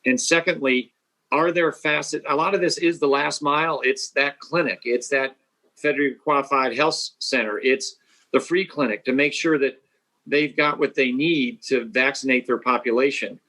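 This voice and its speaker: A man speaking as a state public health official on an expert panel, laying out policy in a fast, deliberate way with frequent restarts. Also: mid-range voice, bright, forceful tone.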